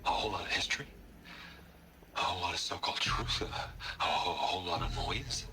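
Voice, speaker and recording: A man's voice: deep voice, expressive, brisk, very noisy. A man playing a bitter, scornful figure in video game dialogue, insistent and rhetorical. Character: bitter, powerful man scornful of recorded human history.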